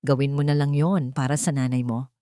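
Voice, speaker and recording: A woman playing a reserved young rich businessman in a narrated love story, gruff but caring. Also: extremely low pitch, fairly even intonation, a little fast, warm tone, no room sound, clean studio sound, pitched below the performer's own voice. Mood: quiet, sincere.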